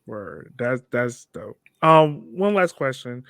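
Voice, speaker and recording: A man's voice: mid-range voice, very animated, slow pace, fairly bright tone, no echo, clean studio sound. A man hosting a podcast, talking in a laid-back, informal interview style. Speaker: podcast host. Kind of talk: casual interview chat.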